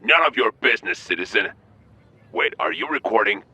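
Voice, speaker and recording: An actor playing an aggressive rookie police officer with a gravelly voice. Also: male voice, fairly low pitch, lively intonation, moderate pace, sharp tone, exaggerated voice. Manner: aggressive, dismissive. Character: rough, menacing rookie cop.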